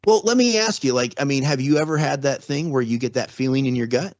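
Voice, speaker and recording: A man's voice: medium pitch, fast, a little mellow, no echo. A middle-aged man talking casually as a podcast guest, rambling and restarting his sentences often. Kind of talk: casual conversation. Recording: slightly dull, dry sound.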